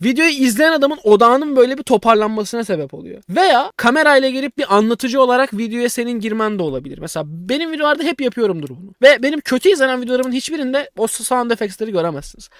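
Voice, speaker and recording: A man's voice: high voice, fairly bright tone, dry recording. A man streams casual commentary in a voice that rises and falls freely. He is blunt and slangy, repeating phrases to drive them home. The sound is dry, with no room around it. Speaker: streamer reacting to a video.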